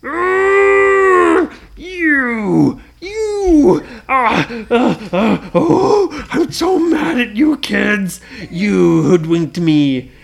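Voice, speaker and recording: A woman voicing a gruff, loud male pirate captain who growls his words in a bedtime story. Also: fairly high pitch, expressive, slow pace, pitched above the performer's own voice, heavily acted. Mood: furious.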